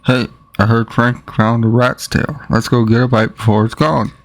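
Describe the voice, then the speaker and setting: A man voicing a blunt, folksy, streetwise male pigeon with a gravelly voice in an animated short. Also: mid-range voice, normal speed, lightly acted. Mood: pushy, casual. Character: streetwise, pushy male alley pigeon, older buddy type.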